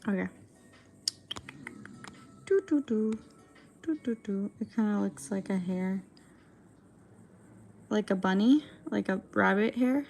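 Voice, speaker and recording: A young woman with a gravelly, raspy voice, her throat dry and strained. She chats casually and rambles with plenty of fillers while working on a drawing, and faint music plays behind her. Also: medium pitch, lively intonation, takes it slowly, pauses often, darkish tone. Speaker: digital artist streamer.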